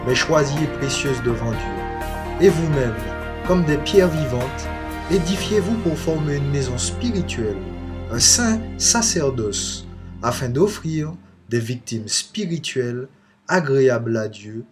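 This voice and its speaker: A man with a mid-range voice reads scripture slowly and solemnly, weighing each phrase. Music plays clearly in the background. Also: mid-range voice, animated.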